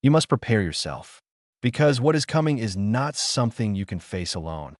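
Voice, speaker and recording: A man's voice: lowish voice, big pitch swings, normal speed, no echo, studio quality. Man playing a solemn, ominous godlike alien. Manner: solemn, portentous. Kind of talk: sci-fi audiodrama acting.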